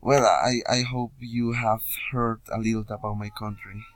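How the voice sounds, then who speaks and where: A young man from Peru with a Peruvian Spanish accent, introducing himself informally as a student in hesitant learner English with frequent pauses. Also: fairly low pitch, fairly even intonation, a little hiss or hum, low-quality recording. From Puno, Peru. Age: young adult. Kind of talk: informal self-introduction video.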